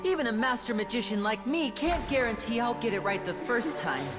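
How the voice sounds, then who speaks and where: An actor playing a playful, cheeky, boyish young magician putting on a show in a game. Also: male voice, extremely high pitch, expressive, fairly quick. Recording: loud music behind.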